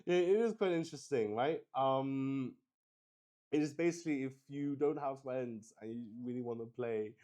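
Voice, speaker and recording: A man's voice: medium pitch, moderate pace, dark tone. A man streaming a solo tabletop roleplaying game, explaining it casually to viewers in a hesitant, rambling way.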